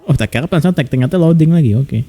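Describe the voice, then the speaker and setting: A man's voice: on the high side, animated, racing, warm tone. A man with an Indonesian accent giving casual live commentary as he plays a video game. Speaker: game streamer.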